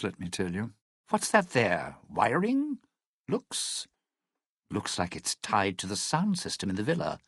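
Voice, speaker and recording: A man's voice: mid-range voice, no room sound, clean studio sound. A man playing a slick, cynical lounge singer turned scheming survivor in a video game, with smug, theatrical showbiz patter and an edge of menace. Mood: sceptical.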